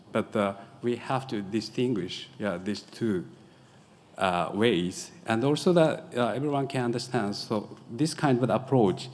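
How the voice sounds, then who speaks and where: A middle-aged man with a Japanese accent gives a conference presentation, haltingly searching for words in English as a non-native speaker. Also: lowish voice, a little mellow. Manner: halting, searching for words, frequent filler "yeah". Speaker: professor presenting design research. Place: lecture hall at a podium. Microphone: podium microphone. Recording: echoey hall, audible background noise.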